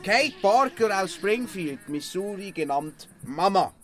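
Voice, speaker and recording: A high-voiced male sheriff from a comic Western radio play. He is bossy and curt, handing out dictation with impatient, dismissive authority. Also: animated, a little slow, over music. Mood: brisk dictating.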